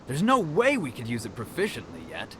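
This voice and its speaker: An actor playing a proud, earnest young martial arts disciple who explains things in a video game. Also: male voice, medium pitch, huge pitch swings, a little fast, background noise. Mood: explaining, admiring.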